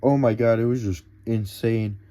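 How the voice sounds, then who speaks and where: A man's voice: medium pitch, moderate pace, dry recording. A young man recapping a wrestling event in a casual, rambling way, full of fillers and restarts. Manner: excitable, rambling, filler-heavy. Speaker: wrestling show reviewer.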